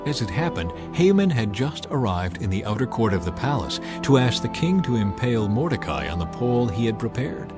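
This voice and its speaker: A man's voice: mid-range voice, very animated, brisk, few pauses. A man narrating a dramatized scripture audiobook in an emphatic, theatrical storytelling manner. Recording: music bed behind the voice.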